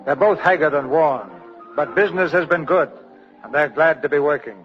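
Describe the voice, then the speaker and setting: A man's voice: fairly high pitch, moderate pace. A man delivering scripted narration for a 1940s radio drama. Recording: muffled 1940s radio with music.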